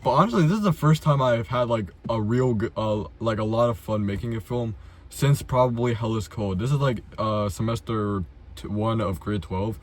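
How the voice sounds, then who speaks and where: Slangy young man with a lowish voice. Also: normal speed. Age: young adult. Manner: self-deprecating, blunt, slangy and sweary. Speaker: amateur filmmaker, video host. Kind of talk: casual unscripted commentary.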